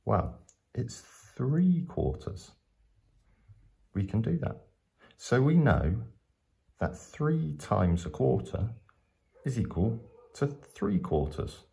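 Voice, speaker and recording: A man's voice: medium pitch, sing-song, takes it slowly, vocal fry, pauses often, dark tone. A man teaching maths step by step in a patient, explanatory way, going back over his points.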